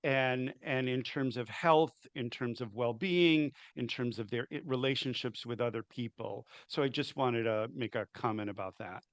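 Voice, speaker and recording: A man's voice: medium pitch, normal speed, hardly any pauses, no echo. Hesitant man with a dry, close sound. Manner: hesitant, stumbles on starts. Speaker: co-presenter. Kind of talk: webinar comment. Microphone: video call.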